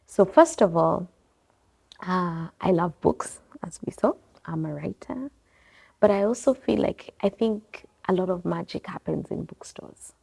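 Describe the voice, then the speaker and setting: A woman speaks in a slightly low voice with a gravelly fry running through it. She talks casually and reflectively in an interview, wandering between thoughts and correcting herself as she goes. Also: lively intonation, a little slow, a little mellow. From Kenya. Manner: reflective, rambling, self-correcting. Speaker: guest, bookstore owner. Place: her bookstore and coffee bar.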